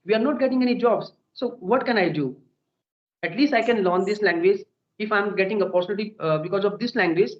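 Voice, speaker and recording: A man's voice: high voice, brisk. A young man with an Indian accent talks through a casual English conversation, earnest and full of little "okay" check-ins. The sound is dull and muffled, with a noticeable echo from the room around him. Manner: earnest, peppers speech with "okay" and "you know". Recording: dull echoey room recording.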